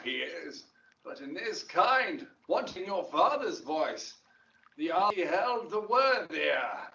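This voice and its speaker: A stern, measured duke in a Shakespearean audio play. The voice sits high for a male and swings widely in pitch as it declaims formally and slowly. The recording is dull and set back from the mic. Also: sing-song, noisy background, exaggerated voice. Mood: grave, admonishing. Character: stern, measured ancient duke counselling a defiant young woman. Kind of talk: classical Shakespearean audio drama acting. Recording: distant, dull, echoey drama recording.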